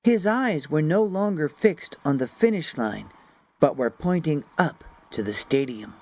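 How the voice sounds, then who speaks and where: Slow, lively male storybook narrator. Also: fairly high pitch. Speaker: audiobook narrator.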